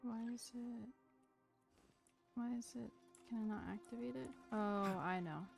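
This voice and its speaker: Woman, game streamer, higher-pitched, creaky, unhurried, casual self-directed muttering, music behind. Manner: muttering to self while puzzling things out. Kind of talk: casual live gameplay commentary.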